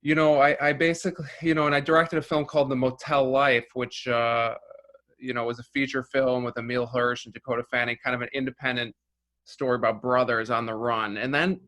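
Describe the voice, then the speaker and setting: A filmmaker whose first language was Russian, talking casually as an interview guest and rambling through many restarts and "you know"s. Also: male voice, mid-range voice, flat delivery, brisk, pushed and bright.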